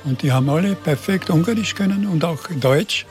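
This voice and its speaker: A man in his eighties from Burgenland with a slight Burgenland Croatian accent, answering interview questions in a halting way, often repeating words. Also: fairly high pitch, soft background music. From Burgenland, Austria. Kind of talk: conversational interview.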